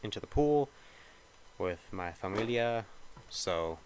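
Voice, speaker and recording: A young man talks over his gameplay, rambling and thinking aloud with plenty of hesitation. His voice sits a little low and sounds slightly nasal. A faint background hum runs under the recording. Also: animated, slow pace, darkish tone. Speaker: gaming video creator. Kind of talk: casual gameplay commentary.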